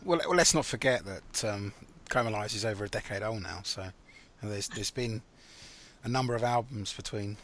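A man's voice: fairly low pitch, normal speed, a bit bright. A man from England hosting a podcast, interviewing his guest casually and asking follow-up questions. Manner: engaged, asks follow-up questions. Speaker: podcast host.

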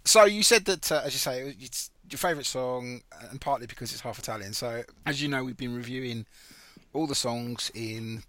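A man's voice: medium pitch, normal speed, sharp tone, dry recording. A man from England hosting a podcast, interviewing his guest casually and asking follow-up questions. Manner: engaged, asks follow-up questions. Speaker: podcast host.

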